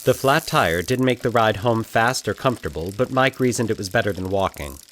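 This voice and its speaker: A man narrating a scripted fiction story in a measured, dramatic storytelling manner. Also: on the low side, lively intonation, a bit bright, a little hiss or hum.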